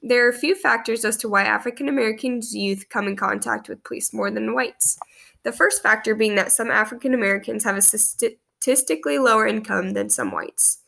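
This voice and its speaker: A young woman with a cold reads her class post aloud, earnest and steady, tripping now and then over a word. Her voice sits fairly high and bright, with a gravelly fry under it and a stuffy nose. She is close to a webcam in a small room. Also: a little fast. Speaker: student presenting a class post. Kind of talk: read-aloud presentation. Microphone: webcam at close range.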